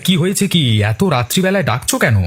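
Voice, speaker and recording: A man's voice: sits high, expressive, fast, breathy edge. A man voicing a simple, obliging, plain-spoken village husband in a cartoon story. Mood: annoyed, dismissive. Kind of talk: cartoon voice acting.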